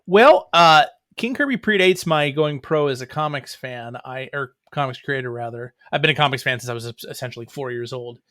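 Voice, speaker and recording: A man's voice: mid-range voice, a little fast, few pauses, fairly bright tone, no echo, studio quality. A middle-aged man chatting casually as an interview guest, with a wry, joking manner. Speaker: comics writer and playwright, interview guest.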